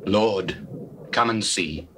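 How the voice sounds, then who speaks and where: An actor playing grave Judean men in dramatized scripture, with a weighty British delivery. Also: male voice, fairly low pitch, takes it slowly. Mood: sorrowful. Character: grave adult male Judean elders and onlookers. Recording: noisy, hissy old cassette recording.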